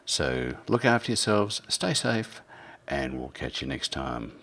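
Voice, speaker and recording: A man's voice: extremely low pitch, very animated, unhurried, fairly bright tone. A man working as a radio host and narrator, presenting scripted material in a theatrical storytelling style. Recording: music behind the voice. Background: music.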